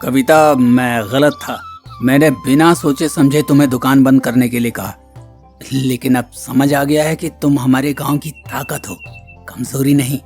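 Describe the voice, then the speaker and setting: A man's voice: mid-range voice, fairly even intonation, a little slow, darkish tone, faint music behind, close to an everyday voice. A man voicing a stern, traditional village headman in a moral-story cartoon, speaking with authority. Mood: remorseful. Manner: authoritative, admonishing.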